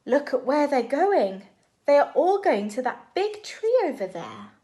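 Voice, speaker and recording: A woman reading a picture book aloud to young children in an expressive, deliberate storytime style. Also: sits high. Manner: expressive, animated, deliberate phrasing for small children.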